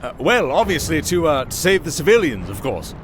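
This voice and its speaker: Roguish young musician played by a man, higher-pitched, wry and glib, fantasy roleplay with loud background ambience. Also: sing-song, a little fast, a little hiss or hum. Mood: wry, nervous bluffing. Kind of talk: fantasy audio roleplay acting.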